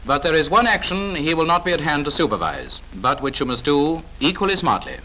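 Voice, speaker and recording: A man's voice: fairly high pitch, very animated, brisk, background noise. A British man narrating a 1940s instructional film in a clipped, authoritative, instructive manner, on an old film soundtrack.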